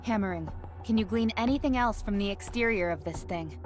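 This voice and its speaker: A woman's voice: mid-range voice, fairly quick, a little mellow, soft background music, lightly acted. A woman voicing a composed, protective female military bodyguard in a video game, formal and concise. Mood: composed, watchful.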